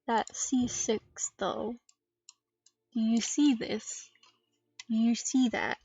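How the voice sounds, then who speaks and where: A woman giving casual solo commentary on a game stream in a playful, dryly self-deprecating, halting way. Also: fairly high pitch, vocal fry, a little mellow, husky. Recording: dull lo-fi mic with faint noise and clicks.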